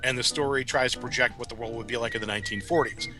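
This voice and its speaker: A man's voice: mid-range voice, steady tone, fast talker, music in the background. A man speaking as a guest writer in a casual podcast discussion, articulate and enthusiastic. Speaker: guest, writer of audio dramas.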